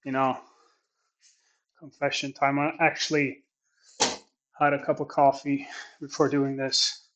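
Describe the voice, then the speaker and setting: A man whose first language is Swedish, talking in English through a training session and reflecting on it in a slow, halting way. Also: medium pitch, little pitch movement, fairly bright tone. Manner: slow, thoughtful, halting phrasing. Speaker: athlete training on camera. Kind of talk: reflective training vlog narration.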